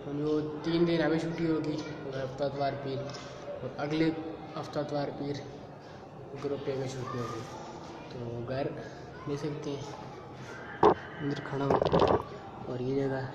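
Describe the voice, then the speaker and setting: A man's voice: mid-range voice, level tone, measured pace, pauses often, lo-fi sound. A teenage boy gives a plain, matter-of-fact tour of an unfinished concrete house, filming on a phone held at arm's length. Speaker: vlogger. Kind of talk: casual house-tour narration. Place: bare unfinished concrete house, indoors and on the roof. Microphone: phone camera held at arm's length. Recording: echoey bare rooms, loud ambient noise.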